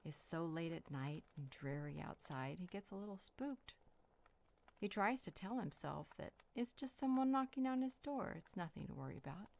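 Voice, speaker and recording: A woman's voice: on the low side, expressive, moderate pace, vocal fry, darkish tone. A woman playing an affectionate, teasing, soothing vampire healer in an intimate audio roleplay. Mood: storytelling, soothing. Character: warm, playful, caring female vampire healer.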